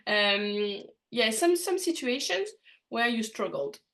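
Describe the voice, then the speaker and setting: A woman hosting a podcast interview in English, which is not her first language, pausing often with fillers and restarts. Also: a little high, sing-song, fairly bright tone. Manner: hesitant, many fillers and restarts. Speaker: podcast host.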